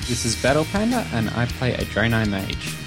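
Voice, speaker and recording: A man with an Australian accent and a medium-pitched voice. He is a podcast guest, answering questions in a casual, conversational way. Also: big pitch swings, normal speed, music in the background. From Perth, Western Australia. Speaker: podcast guest, website developer. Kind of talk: casual interview chat.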